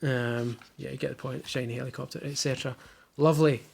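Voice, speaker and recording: Gravelly Scottish man, dry jokes. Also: medium pitch, steady tone, fast talker, a little mellow. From Scotland. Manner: dry jokey asides. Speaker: vlogger unboxing a baby box. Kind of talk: casual chat. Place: living room at home.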